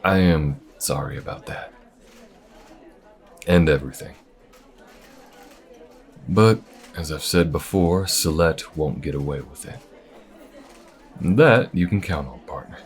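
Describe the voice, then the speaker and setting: Man acting as a very deep-voiced folksy space bounty hunter. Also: a faint crowd, exaggerated voice. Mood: relaxed, sincere. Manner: folksy, unhurried, reassuring. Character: folksy, loyal male space bounty hunter, steady and protective. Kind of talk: intimate audio roleplay acting.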